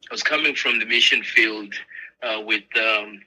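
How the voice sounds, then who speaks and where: A pastor from Zimbabwe, calling in remotely as a guest, speaking in a halting way with many fillers. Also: male voice, fairly low pitch, bright, forceful tone, slightly rough, low-quality recording. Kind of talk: casual interview conversation. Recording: remote call-in audio.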